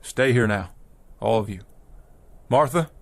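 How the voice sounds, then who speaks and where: An actor playing a weary, determined wagon-train leader in a vintage TV drama, halting and repeating words in folksy old-West phrasing. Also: male voice, on the low side, lively intonation. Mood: earnest, urgent. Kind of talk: vintage television drama acting. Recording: vintage TV soundtrack with music underneath.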